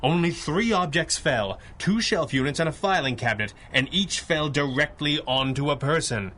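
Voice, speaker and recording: An actor playing a brainy, measured superhero detective with formal, articulate speech in a 1930s style radio adventure drama. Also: male voice, on the high side, expressive, bright, forceful tone. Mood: pondering, analytical. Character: masked male superhero detective, brainy, measured, commanding boss.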